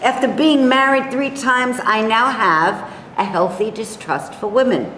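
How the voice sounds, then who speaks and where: A woman's voice: fairly high pitch, measured pace, fairly bright tone. A woman plays a cynical, quarrelsome businessman, curt and sour, in a staged comic reading. The voice rings in an echoey hall with some background noise. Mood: combative, cynical. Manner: curt, argumentative retorts. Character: sour, combative small businessman, cynical and thrice divorced.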